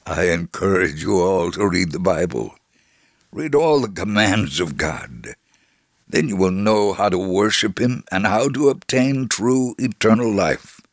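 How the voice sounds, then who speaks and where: A man reading a first-person testimony aloud on the radio in an earnest, measured storytelling manner. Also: low voice, expressive, no echo, studio quality.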